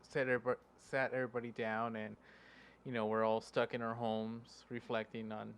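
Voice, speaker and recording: A man's voice: medium pitch, flat delivery, moderate pace, clear, resonant tone. A man from Mexico hosting a podcast, asking questions casually with many fillers and restarts. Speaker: podcast host. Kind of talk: casual interview.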